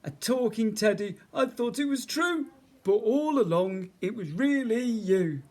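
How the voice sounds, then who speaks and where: A woman's voice: a little high, expressive, a little slow, darkish tone, pitched above the performer's own voice. A woman voicing a great big, lonely, silly bear with a whiny voice in a children's storybook. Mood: silly, giggly. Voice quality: whiny, whimpering. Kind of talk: children's storybook read-aloud.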